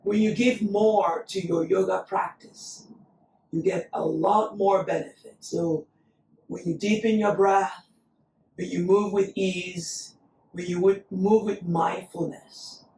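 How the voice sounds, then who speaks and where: Yoga lady with a voice still recovering, talks slow, mic kinda far off, roomy echo. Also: on the low side, vocal fry, slight background noise. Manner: slow, calm cueing of breath and poses. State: recovering from lost voice. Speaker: yoga instructor. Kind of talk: guided yoga class instruction. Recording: echoey room, voice from a distance.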